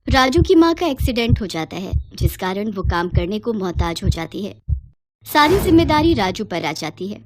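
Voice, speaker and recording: A woman's voice: medium pitch, big pitch swings, moderate pace. A woman narrating a scripted moral story.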